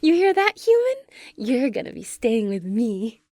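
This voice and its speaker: A woman playing a gentle, excitable young giantess who dotes on a tiny human, cooing tenderly in an ASMR roleplay. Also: a little high, unhurried, a little hiss or hum. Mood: excited.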